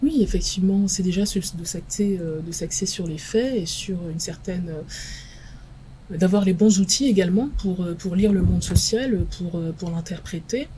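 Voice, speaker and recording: A woman expert guest on a televised panel debate, from France, answering in a measured, analytical way with frequent halting pauses. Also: lowish voice, mellow, a little hiss or hum, low-quality recording. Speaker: guest expert in international relations.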